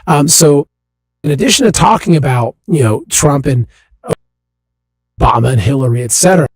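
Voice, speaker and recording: A man hosting a talk show, his voice hoarse and raspy while he recovers from an illness, speaking loosely with fillers. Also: medium pitch, lively intonation, moderate pace, no echo, rough recording. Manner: loose, filler-heavy delivery. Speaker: show host. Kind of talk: hosted talk show monologue.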